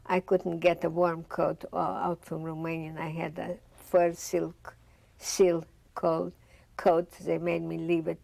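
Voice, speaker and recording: Older woman, Russian accent, low gravelly voice, storytelling interview, dry close sound. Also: completely flat delivery, measured pace, mellow, no room sound. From Bessarabia. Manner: reflective storytelling, acts out remembered dialogue. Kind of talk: oral history interview. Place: studio with dark curtain backdrop, seated.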